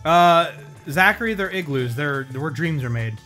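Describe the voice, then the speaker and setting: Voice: medium pitch, expressive, unhurried, bright, forceful tone, soft background music. A co-host cracking sarcastic jokes and swearing casually during a gaming session.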